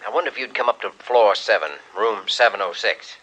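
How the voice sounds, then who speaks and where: Earnest young doctor, deep voice, bright tone, 1950s radio play. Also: male voice, fairly quick, slightly rough, low-quality recording. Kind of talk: 1950s radio drama acting.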